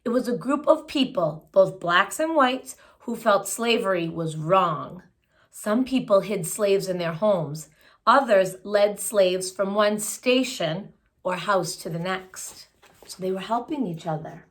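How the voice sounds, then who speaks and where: A woman's voice: medium pitch, animated, slow pace. A woman reading a children's picture book aloud, phrasing it expressively and adding simple explanatory asides for young listeners. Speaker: children's story reader.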